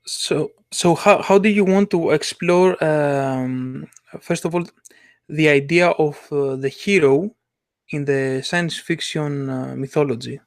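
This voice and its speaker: Guy, slightly high gravelly voice, hesitant podcast chat, super dry sound. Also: dry recording. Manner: halting, searching for words, non-native grammar. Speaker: podcast co-host. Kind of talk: casual discussion.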